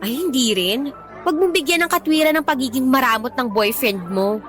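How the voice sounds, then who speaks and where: An actress playing a blunt, gossipy and loyal best friend, slangy and outspoken, in a Tagalog radio drama. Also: female voice, sits high, expressive, a little fast, a bit bright, soft background music. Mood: exasperated, supportive.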